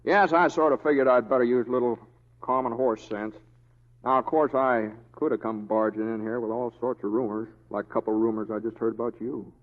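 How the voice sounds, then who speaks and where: A man's voice: medium pitch, fairly even intonation, fairly quick, in a large hall, close to an everyday voice. A man playing an easygoing, wry western deputy with a folksy, hesitant drawl in an old radio drama. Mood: mild, wry. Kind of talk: 1940s radio acting. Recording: dull old radio recording.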